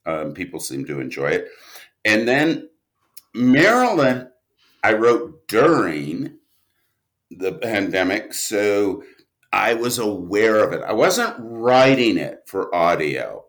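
Male writer as podcast guest: lowish voice, very unhurried, halting, informal storyteller. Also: sing-song, a bit bright. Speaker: writer and producer, interview guest. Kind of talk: casual, anecdotal interview talk.

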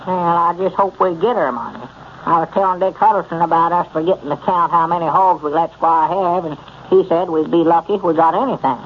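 A man's voice: a little high, fairly quick, natural voice. A man playing a gullible, worrying old country storekeeper with a rural Southern US accent in 1930s radio comedy style. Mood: worried, doubtful. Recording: muffled, noisy 1930s radio recording.

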